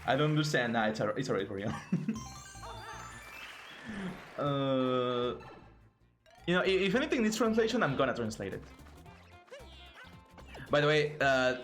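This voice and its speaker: A man's voice: mid-range voice, animated, unhurried, long pauses, fairly bright tone, soft background music. A man with a Mexican Spanish accent, a native Spanish speaker, casually commentating a game on a live stream in a playful, joking way with stammering restarts. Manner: playful, jokey, frequent laughter and stammering restarts.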